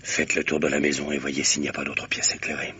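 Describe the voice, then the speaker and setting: Terse stakeout policeman, deep and very raspy, hushed grumbling, radio crime drama. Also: level tone, fast talker, lo-fi sound, exaggerated voice. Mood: hushed, tense. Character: police officers on a tense night stakeout.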